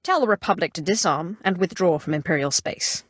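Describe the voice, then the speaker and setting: A woman playing an imperious, calculating Sith sorcerer in a video game, with a measured, haughty and coolly threatening delivery. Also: lowish voice, lively intonation, no room sound, studio quality. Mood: stern, commanding. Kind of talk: scripted game character dialogue.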